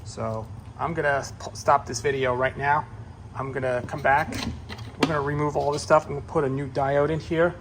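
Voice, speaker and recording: Halting man thinking aloud over noise. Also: fairly high pitch, steady tone. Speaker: hobbyist repairer presenting own video. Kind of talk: casual unscripted how-to narration while working.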